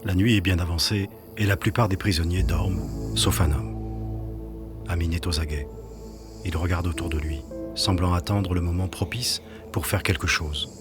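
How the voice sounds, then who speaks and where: A man with a very low voice narrates a scripted audio drama. Music plays clearly behind his storytelling. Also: fairly even intonation, normal speed.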